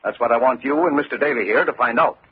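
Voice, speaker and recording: Male actor commanding executive, brisk and brusque, thin tinny vintage radio. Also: mid-range voice, over loud music, natural voice. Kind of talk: old-time radio drama acting. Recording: tinny band-limited old radio recording.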